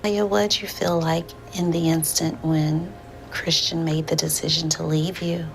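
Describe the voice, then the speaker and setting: A woman speaking as a therapist in a session, with deliberate, formal phrasing. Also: deep voice, flat delivery, soft background music, poor audio. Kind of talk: measured counseling session talk. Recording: clear background room noise.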